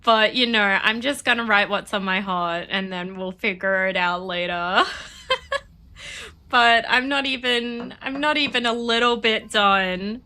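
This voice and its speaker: A woman's voice: mid-range voice, a little slow, sharp tone. A woman streaming a game and chatting casually, sniffly from a cold, rambling and laughing often. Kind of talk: casual chat while playing.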